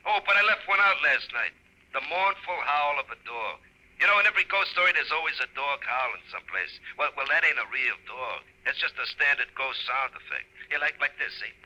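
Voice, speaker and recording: An actor playing a chummy, mischievous hobo ghost in a 1950s radio horror-comedy, with an American accent and slangy, ungrammatical buddy talk. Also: male voice, mid-range voice, animated, a little fast, bright, forceful tone. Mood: gleeful, chummy. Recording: muffled old radio recording.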